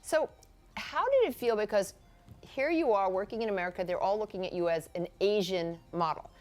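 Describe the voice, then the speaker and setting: A woman's voice: mid-range voice, lively intonation, brisk. A woman hosting a casual interview, chatty and prompting, often finishing her guest's sentences. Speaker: host.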